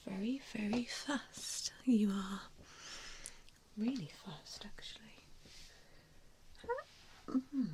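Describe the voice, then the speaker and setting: A woman doing an ASMR roleplay in a breathy whisper, speaking in a gentle, hesitant, reassuring way. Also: medium pitch. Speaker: ASMR roleplayer playing an assessor. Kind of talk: ASMR roleplay, softly whispered personal attention.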